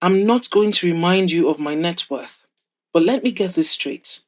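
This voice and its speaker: A woman's voice: fairly low pitch, normal speed, lightly acted. Cocky, incredulous rich young man, voiced by a woman. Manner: questioning, indignant.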